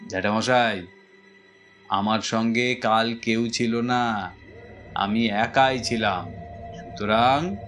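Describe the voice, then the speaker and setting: A man narrating a suspense audio story in a dramatic, scripted first-person style. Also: medium pitch. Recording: music behind the voice. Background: clear background music.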